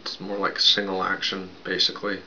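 A raspy man in his thirties rambling casually at his webcam in a small bedroom, with lots of fillers while he drinks. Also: low-pitched, monotone, a little fast, bright, forceful tone. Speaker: vlogger. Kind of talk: casual show-and-tell vlog. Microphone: webcam at desk distance. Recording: dull lo-fi sound with background noise.

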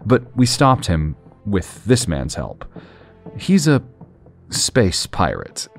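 Brief: male actor as a disbelieving young hero, low-pitched, hesitant, audio drama. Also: huge pitch swings, vocal fry, soft background music, exaggerated voice. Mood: shaken. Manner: halting, disbelieving speech. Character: stunned young hero leader, shaken and disbelieving.

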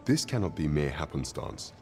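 An actor playing a grave, loyal knight captain in a fantasy game, speaking formal archaic English in a measured, courtly way. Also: male voice, extremely low pitch, very animated, creaky, darkish tone, soft background music, heavily acted. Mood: grave, deliberate.

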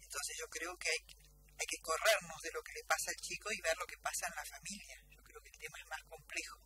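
A woman's voice: sits low, fast talker, sharp tone, breathy edge, soft background music. An Argentine woman explaining things emphatically and didactically as a guest expert in a radio discussion. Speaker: guest doctor. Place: radio studio, indoors. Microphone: studio table microphone.

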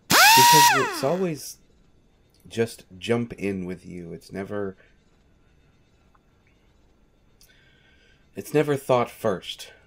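Audio roleplay: devoted, wry manservant played by a man, slow delivery with very animated intonation, courteous and patient. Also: medium pitch, creaky, heavily acted. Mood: fond exasperation. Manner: polite, dry, hesitant. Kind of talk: scripted audio roleplay, speaking directly to listener.

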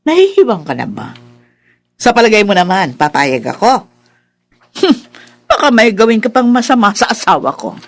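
A woman's voice: mid-range voice, huge pitch swings, moderate pace, long pauses, dry recording. A woman with a Filipino accent playing a bossy, suspicious middle-aged stepmother in a radio drama, scolding and theatrical. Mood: stern, threatening. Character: haughty, suspicious, bossy mother and cruel stepmother.

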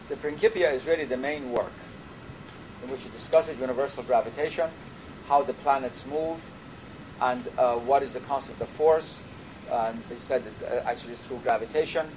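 An older man, a native Persian speaker from Iran, giving a measured university lecture with thoughtful pauses. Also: mid-range voice. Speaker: professor. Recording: lecture recording with audible background noise.

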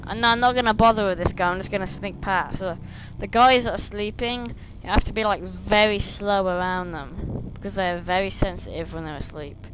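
Hoarse young woman chatting over gameplay. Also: mid-range voice, fairly quick, few pauses, background noise. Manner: chatty, rambling, talks to game enemies. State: slightly hoarse, losing her voice. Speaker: gameplay streamer. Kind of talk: casual gameplay commentary. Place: small room indoors, seated at a desk.

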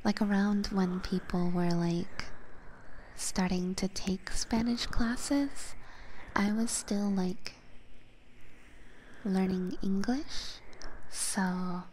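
A woman whose first language is Hungarian, whispering softly to her viewers in an ASMR chat stream on a binaural mic, halting now and then with fillers. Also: medium pitch, a little hiss or hum, poor audio. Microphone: binaural microphone.

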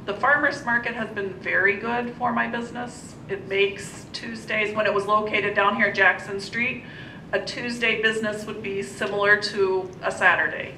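Flat-toned American woman, noisy room. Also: moderate pace. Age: middle-aged. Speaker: local business owner speaking at public comment. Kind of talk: public comment speech. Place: indoor council meeting room. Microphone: gooseneck podium microphone. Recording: roomy, distant mic, noisy rumble.

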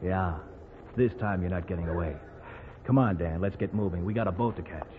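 A man's voice: low-pitched, fairly quick, close to an everyday voice. An actor playing a tough but genial policeman in a 1940s radio drama. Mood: tough, businesslike. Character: tough, genial cop escorting his captured prisoner. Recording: muffled, noisy vintage radio recording.

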